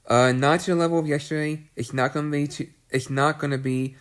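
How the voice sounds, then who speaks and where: Congested man, flat delivery. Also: fairly high pitch, fairly quick. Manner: clears throat often, restarts phrases; reads long lists of places in a level voice. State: congested with a cold. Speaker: weather video host. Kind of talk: unscripted weather briefing.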